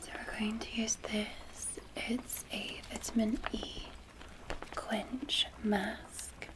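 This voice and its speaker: A woman's voice: on the high side, level tone, rough recording. Whispering Scottish woman, ASMR facial. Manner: soft, slow whispering, gentle caring attendant. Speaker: ASMR artist giving a spa facial roleplay.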